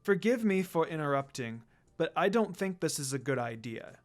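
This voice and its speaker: A man's voice: a little high, sing-song, normal speed, faint music behind. A man voicing a nervous, meek young male henchman, timid and apologetic.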